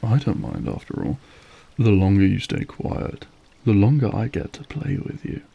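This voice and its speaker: A man's voice: lowish voice, wildly swooping pitch, moderate pace, creaky, husky, highs cut off, full character voice. A male actor playing a suave, menacing, manipulative vampire lord in an audio roleplay, silky, mocking and formal as he savors each phrase. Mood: menacing, playful. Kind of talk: scripted audio roleplay acting.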